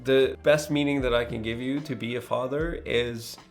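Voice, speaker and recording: A man in his thirties with a gravelly, creaky edge to a mid-pitched voice. He speaks earnestly and deliberately, as if thinking aloud in a personal monologue. Soft music plays behind him. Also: steady tone. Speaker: host, new father.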